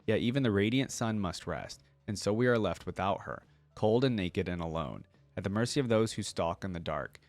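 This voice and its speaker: A man's voice: lowish voice, lively intonation, normal speed, lightly acted. A man reading aloud a fantasy hymn as a devout, reverent sun worshipper.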